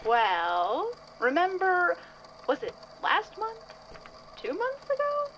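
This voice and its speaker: A woman's voice: very high voice, huge pitch swings, slow pace, a bit bright, dull audio, slight background noise, exaggerated voice. A woman playing a playful, teasing archive assistant in an audio drama, drawing lines out in a sing-song way.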